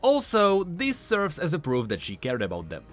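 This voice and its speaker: A man's voice: fairly high pitch, huge pitch swings, moderate pace, a bit bright. A man giving scripted explainer commentary as a video host, in an explanatory, emphatic manner.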